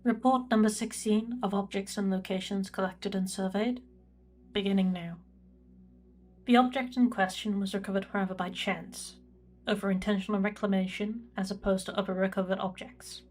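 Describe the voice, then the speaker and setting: Woman as a formal, even-toned imperial archivist. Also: medium pitch, close to an everyday voice. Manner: formal, measured, clinical. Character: reserved female imperial records prefect, formal and precise. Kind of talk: scripted log narration in sci-fi audio drama.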